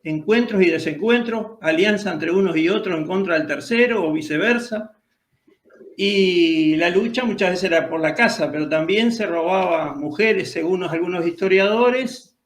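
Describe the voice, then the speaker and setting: A Uruguayan man hosting a radio talk show, speaking haltingly with pauses. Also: high voice. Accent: Uruguayan Spanish. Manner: hesitant, halting with fillers. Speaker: host. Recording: echoey room.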